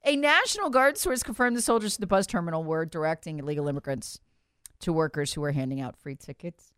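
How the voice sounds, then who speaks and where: A woman's voice: low voice, animated, quick pace, fairly bright tone, smooth, dry recording, clean studio sound. A woman hosting opinionated political commentary, speaking sarcastically and emphatically, repeating phrases for effect and acting out quotes in a conversational way.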